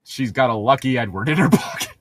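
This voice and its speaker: A podcast co-host with a husky voice, joking and teasing casually while reading a story aloud. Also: mid-range voice, lively intonation, quick pace, dry recording, clean studio sound. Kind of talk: casual joking commentary and mock dialogue.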